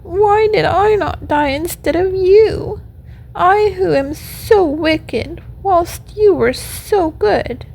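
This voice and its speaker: A woman's voice: very high-pitched, expressive, measured pace, pitched above the performer's own voice, full character voice, poor audio. A woman voicing an emotional wooden puppet boy from a storybook, plaintive and full of exclamations and questions. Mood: grieving, pleading. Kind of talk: storybook character voice.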